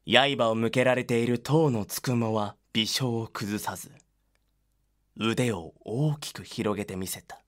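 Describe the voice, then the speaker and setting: A male narrator reads a scripted drama in a medium-pitched voice. His delivery is dramatic storytelling. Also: measured pace, a bit bright.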